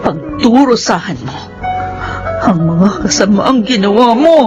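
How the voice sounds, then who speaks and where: A woman's voice: medium pitch, expressive, measured pace, dull audio, natural voice. An actress playing an anguished, betrayed young wife and mother in an emotional, melodramatic radio drama. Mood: hurt, bitter. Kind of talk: radio drama acting and first-person narration. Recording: studio radio drama with loud music. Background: loud dramatic music.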